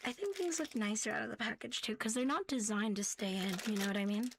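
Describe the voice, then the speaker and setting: A woman hosting a soft ASMR show-and-tell in a playful, cutesy catgirl persona, breathy and often slipping into a whisper. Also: on the high side, quick pace, noisy background. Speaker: ASMR host.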